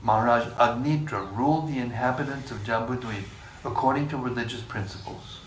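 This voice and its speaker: A man leading a scripture class, reading passages in a measured way and joking with the group between them. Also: mid-range voice. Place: room with a live group. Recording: echoey room, distant mic, faint hiss.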